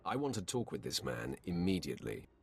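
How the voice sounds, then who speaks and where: A man's voice: deep voice, sing-song, fairly quick, vocal fry, a little hiss or hum, heavily acted. A man voicing a proud, ruthless Sith apprentice in a video game, curt and haughty. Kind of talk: scripted video game acting.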